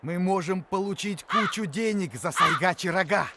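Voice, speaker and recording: A man's voice: sits high, normal speed, very noisy. A man playing a brash, cocky, greedy poacher in a cartoon. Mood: greedy, defiant. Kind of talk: cartoon voice acting.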